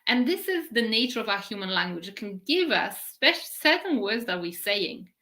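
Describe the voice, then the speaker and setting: A middle-aged woman gives a spiritual talk on a live stream, slowly drawing out key words. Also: mid-range voice, very animated, sharp tone. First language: Polish. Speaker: spiritual teacher.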